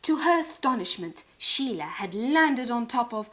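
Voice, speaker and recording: Woman reading a kids picture book, high voice, slow and super animated, dramatic. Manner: dramatic, expressive. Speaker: storybook reader. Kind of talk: animated children's story narration.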